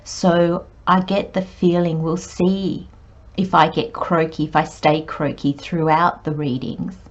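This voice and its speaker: A woman with a croaky voice giving a gentle, reassuring tarot reading intro. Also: low-pitched, steady tone, takes it slowly. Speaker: tarot reader. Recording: dull lo-fi recording.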